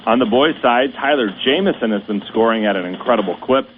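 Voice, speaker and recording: A man hosting a high school sports radio show, reading scripted news in a steady, measured announcer voice. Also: faint music behind. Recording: tinny radio broadcast with faint hiss.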